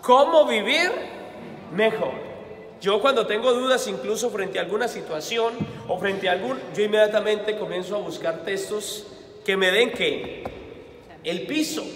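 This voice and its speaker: A man with a Colombian accent, a priest giving a homily at mass. He is lively and keeps asking the congregation questions, then answering them himself, and he tells stories from his own life. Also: high voice. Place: church. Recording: echoey church hall, faint background noise.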